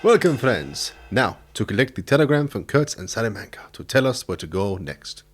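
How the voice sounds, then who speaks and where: An actor playing a gallant, confident gang leader who speaks formally and courteously in a radio drama. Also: male voice, on the low side, very animated, moderate pace, soft background music.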